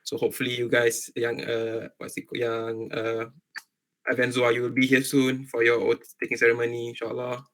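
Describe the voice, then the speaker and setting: A man's voice: medium pitch, monotone, moderate pace, a bit bright. A young man with a Malaysian accent giving an informal online talk to students, often repeating words and rephrasing as he goes. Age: young adult. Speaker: medical doctor, guest speaker.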